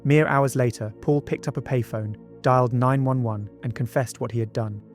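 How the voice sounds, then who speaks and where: Man, documentary narrator, mid-pitched voice, scripted true-crime storytelling, conversational asides, music behind. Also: steady tone, moderate pace. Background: background music.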